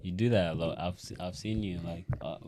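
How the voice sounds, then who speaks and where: A man's voice: sits low, steady tone, brisk, warm tone. A young man of Sudanese background hosting a podcast, asking rambling, stumbling questions full of fillers. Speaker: podcast host. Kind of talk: casual interview.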